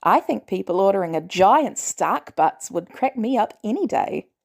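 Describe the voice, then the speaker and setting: A woman's voice: medium pitch, lively intonation, moderate pace, gravelly. A woman voicing a playful, cheeky teenage boy who talks casually and jokingly in an audio story.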